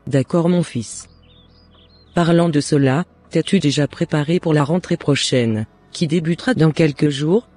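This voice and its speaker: Man playing a devout mother, mellow and earnest. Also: fairly high pitch, a little slow, soft background music. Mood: gently advising. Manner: earnest, encouraging, formal exhortation. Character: devout, loving mother advising her son. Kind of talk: scripted cartoon dialogue.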